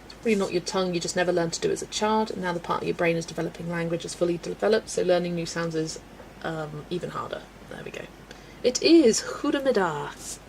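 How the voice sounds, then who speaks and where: A young woman hosting a colouring livestream, chatting casually with viewers, relaxed and laughing often as she works. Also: fairly low pitch, fast, creaky, a little hiss or hum, poor audio. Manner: relaxed and chatty, laughs often, talks to herself while working. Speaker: livestream host. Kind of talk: casual chat with a live chat while colouring.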